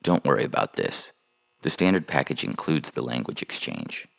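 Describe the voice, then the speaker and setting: A man playing a slick, callous adoption agency salesman with gravelly smooth patter in an audio story. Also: rumbling low, sing-song, moderate pace, a bit bright, heavily acted. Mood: smooth selling. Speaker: voice actor reading a fiction podcast story. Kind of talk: dramatized short story reading. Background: music under the voice.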